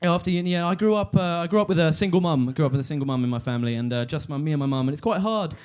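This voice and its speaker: A man in his twenties doing a deadpan stand-up set on a comedy club stage, halting with fillers and restarts. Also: on the high side, smooth. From London. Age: 20s.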